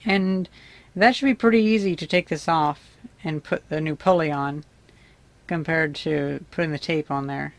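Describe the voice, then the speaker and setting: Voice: medium pitch, normal speed, gravelly, no echo. A vlogger giving a casual, unscripted demonstration, musing and tentative as if thinking aloud. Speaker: vlogger demonstrating vintage audio gear. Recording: clicks and background noise.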